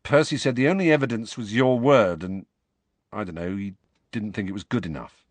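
A man's voice: fairly low pitch, fairly quick, dry recording, pitched above the performer's own voice, natural voice. A man reading an audiobook, voicing a glum teenage boy who talks in a casual, blunt, slangy way. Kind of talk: audiobook character voice.

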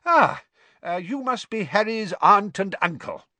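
Flustered middle-aged wizard father for a storybook, performed by a male narrator: raised pitch, animated, apologetic, rambling. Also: a little slow, no echo, exaggerated voice. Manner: vague, apologetic, enthusiastic, rambling.

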